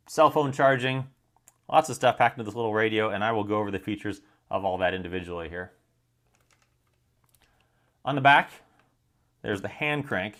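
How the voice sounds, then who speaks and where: A man's voice: medium pitch, fairly bright tone. An American man giving an informal product demonstration and review, explaining each point in a matter-of-fact way.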